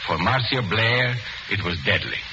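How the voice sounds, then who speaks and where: An actor playing a suave, confident illusionist detective in a 1940s radio mystery, speaking deliberately with formal courtesy. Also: male voice, fairly low pitch, expressive. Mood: triumphant explanation. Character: male master illusionist sleuth, suave, confident, unmasking a killer. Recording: noisy muffled 1940s radio recording.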